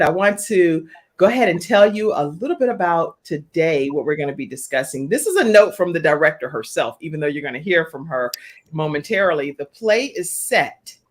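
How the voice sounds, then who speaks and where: A woman's voice: fairly low pitch, fairly bright tone. An American woman hosting a live online talk show in an enthusiastic, welcoming manner.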